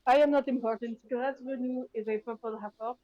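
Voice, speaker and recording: A woman's voice: a little high, fairly even intonation, a little fast, dry recording, highs cut off. A woman taking part as a player in a tabletop game, speaking eagerly and directly.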